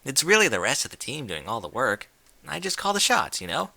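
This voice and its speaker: A man's voice: mid-range voice, huge pitch swings, a little fast, creaky, pushed and bright, heavily acted. A man voicing a young female pegasus pony in a story, awkward, casual and hesitant. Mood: awkward, evasive.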